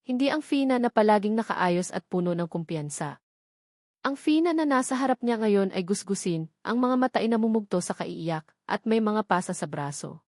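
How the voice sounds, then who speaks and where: A Filipino woman reads an audiobook drama with dramatic feeling. Her voice sits at an average female pitch. The sound is dry, with no room around it. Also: expressive, normal speed, a little mellow, dry recording. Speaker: audiobook narrator. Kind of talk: scripted dramatic storytelling, Tagalog romance drama.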